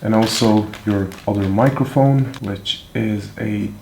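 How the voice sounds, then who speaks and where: A man's voice: on the low side, measured pace, gravelly. A man giving a casual hands-on product walkthrough, talking informally and offhand as he thinks aloud while handling the items. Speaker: product reviewer. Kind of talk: casual unboxing and hands-on demonstration. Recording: echoey room with handling clicks and noise.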